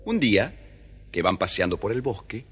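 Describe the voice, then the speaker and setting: A man's voice: lowish voice, very animated, moderate pace, over loud music. A man narrating a scripted children's story as the storyteller. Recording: faintly noisy old storybook record.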